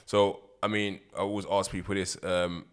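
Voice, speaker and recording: A man's voice: sits low, level tone, normal speed, smooth. A man hosting a casual interview, laid-back and encouraging, answering with frequent yeahs. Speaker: interviewer, host.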